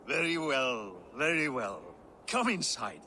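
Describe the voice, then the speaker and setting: An actor playing a stern, weary old Norse king and father in a video game, speaking formally in measured, admonishing lines. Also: mid-range voice, big pitch swings, pushed and bright, a little hiss or hum, heavily acted. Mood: welcoming. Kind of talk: dramatic video game acting.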